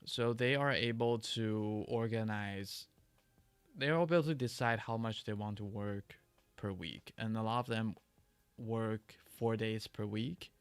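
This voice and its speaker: Gravelly-voiced man chatting on a livestream. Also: on the low side, no room sound. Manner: halting, many restarts and fillers. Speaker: livestream host. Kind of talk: casual livestream chat answering viewer questions.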